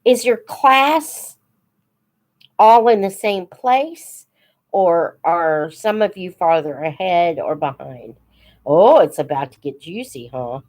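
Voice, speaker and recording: A woman hosting a casual live chat, unhurried and thinking aloud as she talks to her viewers. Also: fairly low pitch, animated, darkish tone. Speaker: host of a live book Q&A, teacher-like reader.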